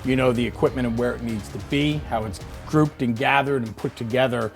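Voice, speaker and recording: A man's voice: mid-range voice, fairly even intonation, a little fast, faint music behind. A man hosting a walk-around interview, conversational, prompting his guest and summarizing technical details. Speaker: interviewer and host.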